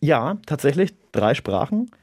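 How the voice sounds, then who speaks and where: A man in his thirties, a native Arabic speaker from Germany, answering interview questions in a measured, reflective way with an occasional chuckle. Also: fairly high pitch, big pitch swings, darkish tone, slightly rough, dry recording, clean studio sound. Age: 30s. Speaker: interview guest, local politician.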